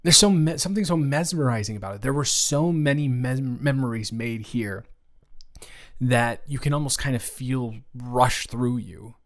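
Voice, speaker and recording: A man's voice: medium pitch, normal speed, close to an everyday voice. A man playing a playful, teasing young best friend in an improvised roleplay audio, speaking casually with false starts and repeats. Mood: musing. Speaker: audio roleplay creator and performer.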